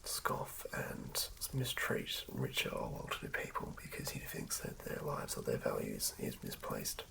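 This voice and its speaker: A person whispering softly in an ASMR style, narrating a tabletop character build in a breathy, creaky hush. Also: rumbling low, huge pitch swings, a little slow, rough recording. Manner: hushed whispering. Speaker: ASMR creator.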